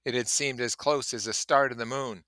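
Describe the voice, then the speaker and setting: A man's voice: mid-range voice, fairly even intonation, moderate pace, bright, forceful tone, no room sound, clean studio sound. A man reading a novel aloud expressively, with casual explanatory asides. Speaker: reader and commentator.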